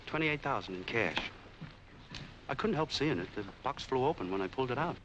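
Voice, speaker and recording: An American man playing a humble, loyal down-and-out friend in a 1940s film noir, speaking gently and deliberately. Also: medium pitch, lively intonation, muffled recording, close to an everyday voice. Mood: humble, friendly. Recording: noisy old 1940s film soundtrack.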